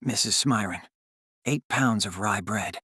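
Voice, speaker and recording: A man's voice: lowish voice, unhurried, bright, forceful tone, slightly rough, no echo, clean studio sound, heavily acted. A man playing a polite, understated young graduate in an audiobook drama. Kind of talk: audiobook character dialogue and inner thoughts.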